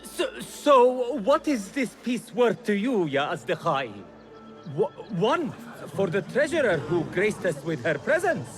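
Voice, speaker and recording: An actor playing a flamboyant, ingratiating auctioneer in a video game, delivering flowery sales patter to a crowd. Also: male voice, high-pitched, sing-song, measured pace. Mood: coaxing, showy. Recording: loud music and crowd behind.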